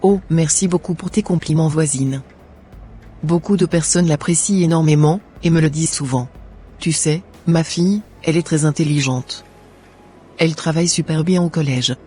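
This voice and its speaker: A man voicing a kind, trusting, pious mother in an animated moral drama, with polite scripted phrasing. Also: fairly high pitch, moderate pace, breathy edge. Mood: polite, friendly. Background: faint music.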